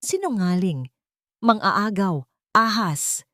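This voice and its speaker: A woman's voice: medium pitch, animated, slow, fairly bright tone, dry recording. A woman plays a spiteful mother-in-law in an audio story, outraged and accusing. She has a Filipino accent, and the sound is dry. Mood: furious.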